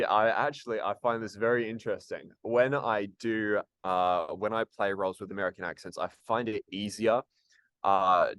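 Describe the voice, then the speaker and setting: A man's voice: on the low side, fairly bright tone, no echo. A man answering interview questions about acting and music, casual and hesitant, with many ums and restarts. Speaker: actor and musician, interview guest.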